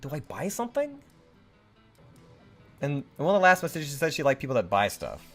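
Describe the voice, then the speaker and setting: A man's voice: a little high, huge pitch swings, fairly quick, fairly bright tone, soft background music. Rambling young man reading game text. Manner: rambling, unsure, laughs a lot. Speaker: let's play host. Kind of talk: casual game commentary and reading game text aloud.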